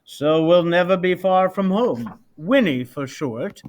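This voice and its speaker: A man's voice: high voice, lively intonation, slow pace. A man voicing an earnest, kind-hearted soldier veterinarian in a storybook. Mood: resolved.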